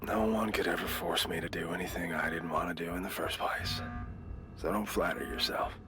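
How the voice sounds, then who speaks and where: A man's voice: low-pitched, fairly even intonation, moderate pace, music in the background, lightly acted. Man playing a wry, nonchalant game rogue. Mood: wry, teasing. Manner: sardonic, nonchalant. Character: wry, defiant male colleague, injured but unbothered, teasing. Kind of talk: dramatic video game dialogue.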